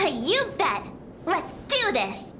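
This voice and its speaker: A woman's voice: extremely high pitch, wildly swooping pitch, drawn-out words, sharp tone, slight background noise, heavily acted. A woman voicing a bubbly, cheeky young girl adventurer in a video game, with music playing behind her. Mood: playful.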